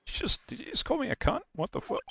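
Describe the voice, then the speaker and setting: A man's voice: quick pace, bright, forceful tone, slight background noise. A man chatting casually as a streamer in a live game dub with friends. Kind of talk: casual chat.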